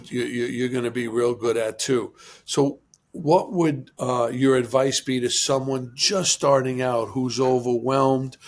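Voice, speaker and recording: A man hosting a podcast interview, encouraging his guest with quick affirmations. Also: medium pitch. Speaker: podcast host.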